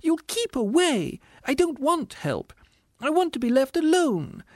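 Man putting on a shrill, squealing female ghost. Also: big pitch swings, unhurried, no echo, clean studio sound, exaggerated voice. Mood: indignant. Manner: whining, indignant, self-conscious. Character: panicky, vain female ghost in shabby finery. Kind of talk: audiobook character voice.

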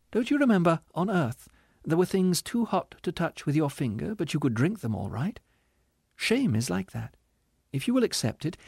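A man voicing a kindly, patient spirit from heaven in an audiobook, gently and earnestly coaxing. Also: on the high side, creaky, breathy edge, no echo, clean studio sound, pitched above the performer's own voice, exaggerated voice. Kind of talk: audiobook character voice.

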